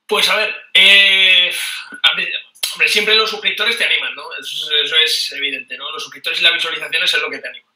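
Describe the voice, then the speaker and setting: A man's voice: fairly high pitch, fairly quick, sharp tone, recorded from a distance. A man in his thirties from Spain, chatting casually as a guest in an interview over a video call, telling stories with energy and laughing often. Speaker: board game youtuber guest. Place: small room at home, indoors. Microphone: webcam mic on a video call. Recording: roomy webcam audio from off-mic.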